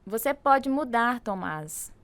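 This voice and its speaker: A woman's voice: a little high, lively intonation, takes it slowly, noisy background. An actress playing the worried, loyal wife of a troubled man, with a pleading, concerned manner. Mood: pleading, hurt.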